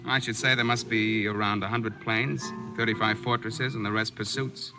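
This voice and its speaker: An actor playing a matter-of-fact American journalist in a 1940s radio drama, clipped and factual. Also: male voice, on the low side, steady tone, moderate pace, music in the background, close to an everyday voice, low-quality recording. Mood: confident, informative.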